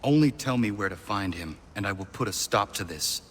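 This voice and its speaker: A stoic young warrior for a video game. His voice is on the low side, his phrasing formal and sparing, with clear background noise. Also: animated, a bit bright. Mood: resolute. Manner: terse, formal, measured. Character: stoic, earnest young male warrior, reserved and resolute. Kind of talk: video game character acting.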